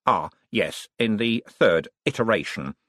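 A man's voice: lowish voice, lively intonation, unhurried, a bit bright, no echo, studio quality. A man voicing a friendly, flustered young wizard scholar in an audiobook, modest but bookish, hesitating and stammering with many ums. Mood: earnest, technical.

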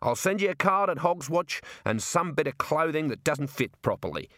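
A man's voice: on the high side, very animated, normal speed, pushed and bright, no room sound, clean studio sound, pitched above the performer's own voice. A man voicing a friendly, blunt university man with an Australian accent and a casual, matey manner in an audiobook. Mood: easygoing. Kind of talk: audiobook character voice.

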